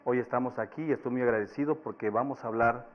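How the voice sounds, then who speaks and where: Measured Tzeltal-native man lecturing. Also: mid-range voice, dull audio. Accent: Mexican Spanish. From Chiapas, Mexico. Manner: measured, explanatory, with occasional fillers. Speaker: panelist speaker. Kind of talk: academic panel presentation.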